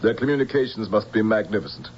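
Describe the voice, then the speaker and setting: A man's voice: lowish voice, darkish tone, natural voice. Man acting a grave general on muffled old radio. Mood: grave, reporting. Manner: measured, emphatic, insistent. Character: grave, resolute male general of a future army. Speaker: radio drama actor. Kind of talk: theatrical radio drama acting. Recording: dull, hissy old radio recording with hum.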